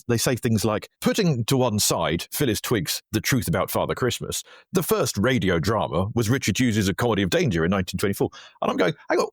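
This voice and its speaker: Low-voiced man chatting in a dry studio. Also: no echo. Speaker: writer and podcaster being interviewed. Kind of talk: casual interview chat.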